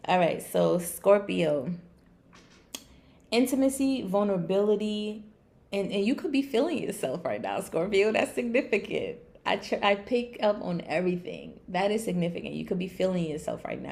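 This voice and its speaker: A raspy-voiced woman with a slight cold, giving a casual, conversational tarot and astrology reading to viewers, with playful asides. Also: medium pitch. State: getting over sneezing and coughing. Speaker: online tarot and astrology reader.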